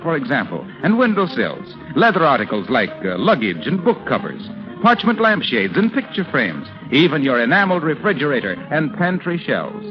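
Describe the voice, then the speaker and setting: A man with an American accent as a polished 1930s radio announcer, reading a script in a declamatory way. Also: medium pitch. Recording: dull 1930s radio recording with music.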